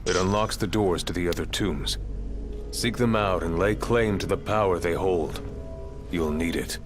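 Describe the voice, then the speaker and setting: An actor playing a stern, commanding middle-aged military mentor in a video game, speaking tersely and with authority. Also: male voice, low-pitched, moderate pace, lightly acted. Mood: stern, instructing. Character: gruff, commanding veteran marshal, stern mentor. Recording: music under the voice.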